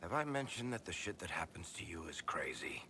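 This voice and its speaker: A wry dwarf storyteller, very low voice that swings widely, faint ambience behind. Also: male voice, sing-song, fairly quick, bright, forceful tone, slight background noise, heavily acted. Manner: wry, dry, incredulous.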